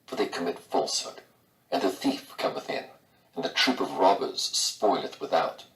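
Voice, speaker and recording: A man reading scripture in a solemn, deliberate way. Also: a bit bright. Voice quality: low-pitched. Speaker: Bible reader. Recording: echoey room, distant from microphone.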